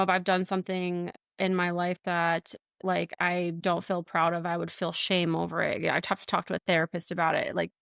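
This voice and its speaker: A woman's voice: fairly low pitch, gravelly, clean tone, no room sound, clean studio sound. A young woman, a flight nurse, talking candidly as a podcast guest, laughing often and using many fillers. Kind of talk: casual interview talk.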